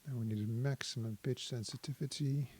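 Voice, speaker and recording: A man giving a casual technical tutorial, thinking aloud in a hesitant, halting, analytical way. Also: mid-range voice, steady tone, heavy background noise. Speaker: synthesizer demonstrator.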